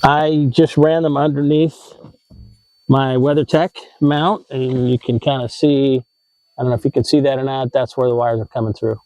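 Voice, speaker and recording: A man's voice: mid-range voice, steady tone, normal speed, mellow, highs cut off. An American man hosting a how-to video, explaining in a casual, informal way with some hesitation and self-correction. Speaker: host of an RV tips video channel.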